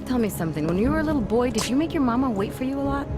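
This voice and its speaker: An actress playing a tough, embittered ex-pilot turned rebel in a video game, blunt and streetwise. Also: female voice, on the high side, animated, very fast, over music, lightly acted. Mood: scolding. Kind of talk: video game cutscene dialogue.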